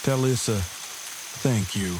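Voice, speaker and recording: A man's voice: mid-range voice, takes it slowly, noisy background, natural voice. An actor playing a formal, verbose, earnest man surviving an apocalypse in an audio drama, speaking stiffly and precisely. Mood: earnest, tender. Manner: stiff, precise, long-winded wording, dry. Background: rain ambience.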